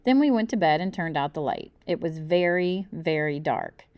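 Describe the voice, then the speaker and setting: Female storyteller for children's book narration, very animated read, dry close sound. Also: low voice, normal speed, no room sound. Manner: animated, acts out the dialogue. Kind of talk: expressive audiobook-style narration in the first person.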